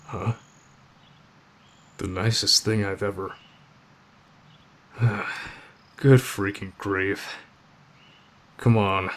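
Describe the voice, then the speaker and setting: A man voicing a gruff, stoic teenage tough guy with a soft spot, terse and reassuring, in an anime roleplay. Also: lowish voice, fairly even intonation, extremely slow, pauses often. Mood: gruff reassurance. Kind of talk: anime fan audio roleplay.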